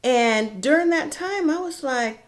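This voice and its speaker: A middle-aged woman telling a personal story casually and candidly, in a conversational way with dramatic pauses. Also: sits high, expressive.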